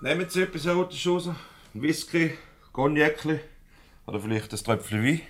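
An actor playing a self-assured, probing company director with a measured, jovially formal manner in a Swiss German radio comedy. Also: male voice, fairly high pitch, animated, a little hiss or hum, lightly acted. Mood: hospitable. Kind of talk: 1970s comedy radio play acting.

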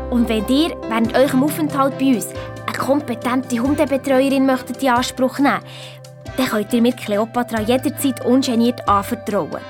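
Woman playing a confident high-voiced Swiss German girl. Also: natural voice. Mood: proudly businesslike. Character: confident, businesslike young hotel owners' daughter, eager amateur detective. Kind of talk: lively first-person storytelling in a children's audio drama.

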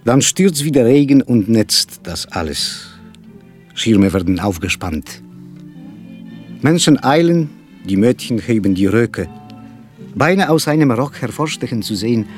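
A man performing a whimsical poet in a theatrical literary recitation, with playful, measured delivery. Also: medium pitch, animated, soft background music, natural voice. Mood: whimsical, musing.

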